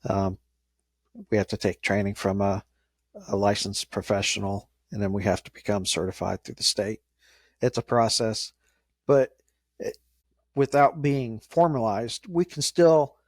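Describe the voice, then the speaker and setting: A man giving informal interview answers as an emergency dispatcher, speaking in a measured, halting way. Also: fairly low pitch, dry recording, clean studio sound. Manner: measured, hesitant, halting phrasing.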